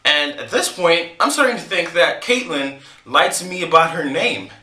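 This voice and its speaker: A man vlogger telling a comic story to camera in an animated, joking way, laughing between lines. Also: fairly high pitch, moderate pace, roomy sound.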